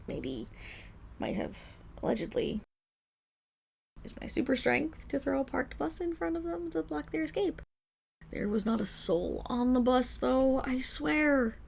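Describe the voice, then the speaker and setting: A woman's voice: high voice, creaky, heavily acted. A woman playing a flustered, boastful female superhero who rambles defensively through a dramatic public apology speech in an audio roleplay. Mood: sheepish, defensive. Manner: rambling, defensive, dramatic storytelling with nervous laughs. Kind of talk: scripted audio roleplay monologue as a press conference speech.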